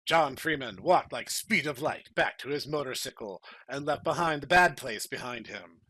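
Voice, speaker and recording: Bright-voiced man hosting a podcast. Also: mid-range voice, expressive, moderate pace, husky. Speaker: podcast host and story narrator. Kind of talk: casual chat and dramatic reading.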